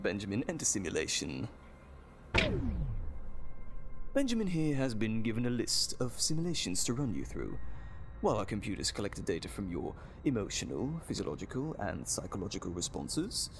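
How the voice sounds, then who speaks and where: A menacing mad doctor from an audio drama, relishing his own cruelty. His delivery is theatrical and extremely animated, swooping from croons to outbursts over a gravelly creak. A faint ambient noise sits behind him. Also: on the low side, exaggerated voice. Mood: commanding. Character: gleeful sadistic male evil scientist doctor, theatrical and menacing. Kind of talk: audio drama villain acting.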